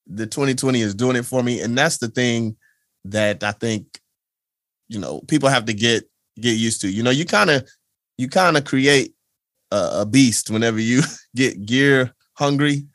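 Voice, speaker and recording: A man's voice: mid-range voice, normal speed, fairly bright tone, no echo, clean studio sound. A man hosting a podcast, chatting in a laid-back way and laughing often. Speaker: podcast host. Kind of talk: casual conversation.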